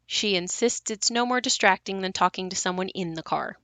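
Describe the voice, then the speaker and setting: An actress plays a worried grown daughter telling family memories in an audio drama. Her voice is bright and forward, close and dry, with a conversational, matter-of-fact delivery. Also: mid-range voice, fairly quick, dry recording, close to an everyday voice. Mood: wry concern. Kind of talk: audio play monologue, conversational.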